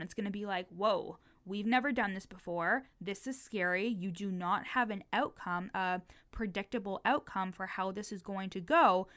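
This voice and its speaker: A woman's voice: mid-range voice, a bit bright. A young woman in her twenties hosting a casual solo podcast, who has a stutter, swears freely and fills her speech with "like". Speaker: podcast host and business coach.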